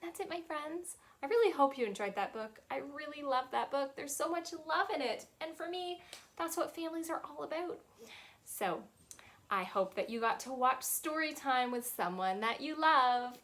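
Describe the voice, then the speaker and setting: A woman in her thirties with a Canadian accent, a children's librarian reading a picture book aloud in a cheerful, expressive storytime manner. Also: sits high, moderate pace. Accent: Canadian English. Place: small indoor library room.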